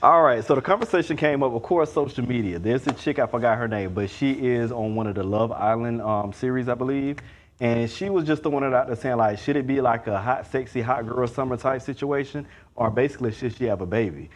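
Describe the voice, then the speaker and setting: Male contestant, average pitch, informal and slangy, casual pop culture chat. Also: a little fast. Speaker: game show contestant and commentator.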